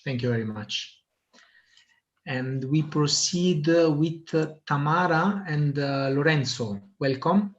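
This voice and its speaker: A man's voice: a little high, takes it slowly. A man hosting an online meeting, hesitant, with many filler sounds. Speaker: meeting host. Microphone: video call. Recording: muffled lo-fi video call audio.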